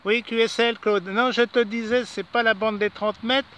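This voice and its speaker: A man's voice: high voice, steady tone, normal speed, dull audio. A man making a ham radio exchange as an operator, repeating himself and hesitating between call signs. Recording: noisy radio transmission with clicks.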